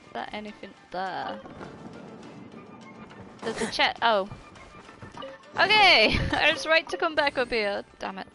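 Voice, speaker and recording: A woman's voice: fairly high pitch, sing-song, unhurried, pauses often, pushed and bright. A woman streaming a video game, giving casual, reactive commentary and playfully heckling the characters. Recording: game music under the voice. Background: video game music and sound.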